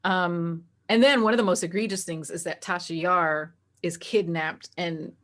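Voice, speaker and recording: A woman talking casually as an interview guest, animated and opinionated, quick to laugh. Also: fairly low pitch. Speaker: interview guest, tabletop game master.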